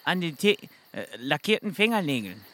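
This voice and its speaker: A man's voice: fairly high pitch, big pitch swings, normal speed, bright, forceful tone, heavily acted. A man playing a dutiful, put-upon policeman in a comedic audio drama, formal and deferential.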